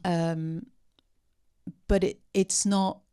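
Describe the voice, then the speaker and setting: A woman speaking unscripted at a post-film discussion, answering audience questions with many pauses, ums and restarts. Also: fairly low pitch, flat delivery, mellow, smooth. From part Syrian.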